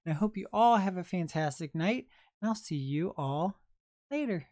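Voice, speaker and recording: A young man hosting a late-night livestream, chatting in a soft, calm, unhurried way. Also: sits high, no echo, clean studio sound. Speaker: livestream host.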